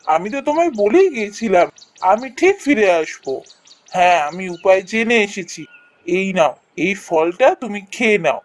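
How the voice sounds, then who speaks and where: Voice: medium pitch, lightly acted. A performer voicing a kind, earnest young village man in a fairy-tale cartoon, speaking expressively. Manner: expressive, earnest storybook delivery. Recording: music behind the voice.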